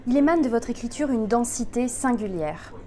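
A woman's voice: on the high side, animated, normal speed, a crowd in the background. A woman interviewing a novelist, asking composed, formal questions. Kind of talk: prepared literary interview questions.

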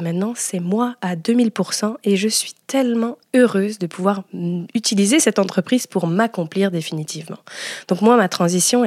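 A woman speaks as a podcast host, sharing a personal story. Her voice sits at an average pitch for a woman, and her delivery is expressive and confiding. The sound is dry, with hardly any room in it. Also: no room sound.

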